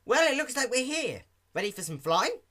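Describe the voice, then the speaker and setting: Man putting on a loud, high, cocky pony girl. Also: big pitch swings, normal speed, heavily acted. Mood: upbeat, confident. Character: brash, boastful young female cartoon pegasus pony.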